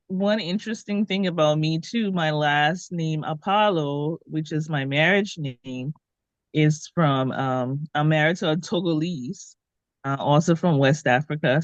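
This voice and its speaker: A woman from Liberia, a guest author in an interview, speaking thoughtfully with many pauses. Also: low voice, dry recording, muffled recording. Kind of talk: conversational interview.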